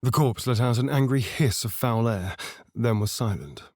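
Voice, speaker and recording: An actor playing a sly, vain vampire spawn adventurer in a video game, with an arch, theatrical, sardonic drawl. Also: male voice, medium pitch, normal speed, a little mellow, no echo, clean studio sound, close to an everyday voice. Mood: hushed storytelling. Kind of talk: video game companion voice lines and narration.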